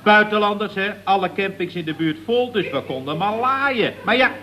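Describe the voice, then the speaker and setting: A man's voice: sits high, expressive, moderate pace, sharp tone. A man playing an eager, apologetic, cheerfully obtuse employee in a radio comedy, chatty and deferential, on an old recording. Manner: deferential, chatty, addresses boss as chef.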